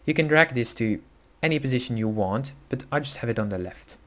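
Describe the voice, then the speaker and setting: A young man with a Dutch accent talks through a beginner coding tutorial in English, his second language. His delivery is casual and halting, full of fillers and quick self-corrections. His voice sits at an ordinary middle pitch. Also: animated, fairly bright tone. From Netherlands. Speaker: tutorial host. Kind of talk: screen-recorded coding tutorial.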